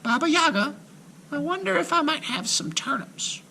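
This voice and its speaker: Man putting on a tiny, timid little girl, very high. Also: expressive, normal speed, gravelly, slight background noise, exaggerated voice, low-quality recording. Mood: meek, pleading. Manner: meek, hesitant, soft-spoken. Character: timid, polite, curious little girl Marusha.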